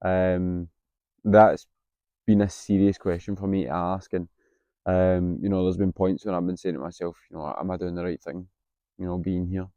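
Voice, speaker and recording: A Scottish man in his late twenties, a little husky from being unwell, talking candidly to camera outdoors with plenty of hesitant ums. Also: deep voice, little pitch movement, moderate pace, warm tone, dry recording, lo-fi sound. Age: 20s. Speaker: vlogger, university student. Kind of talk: casual vlog to camera. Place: outdoors on a campus.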